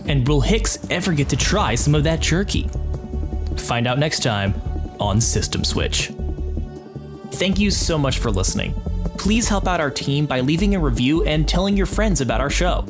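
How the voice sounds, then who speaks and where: A man acts as game master for a tabletop game, narrating casually. His pitch moves around a lot, giving an animated delivery. Music plays clearly behind him. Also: normal speed. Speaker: game master and narrator of an actual play podcast.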